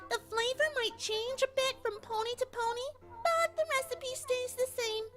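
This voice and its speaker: A woman voicing a bubbly, sweetly sinister young pony baker in a squeaky, childlike voice for a fan audio drama. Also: steady tone, normal speed, fairly bright tone. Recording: music behind the voice.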